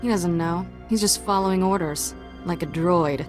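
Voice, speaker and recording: A woman's voice: fairly low pitch, normal speed, natural voice. A woman playing a wry, observant companion in a video game, with a dry delivery. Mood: dry scorn. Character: wry, uneasy female companion. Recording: prominent music behind the voice.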